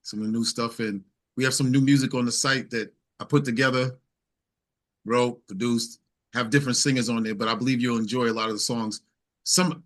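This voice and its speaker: Male interview guest, musician type, average pitch, colloquial and easygoing delivery. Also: a bit bright. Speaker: interview guest, singer-songwriter. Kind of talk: casual interview chat.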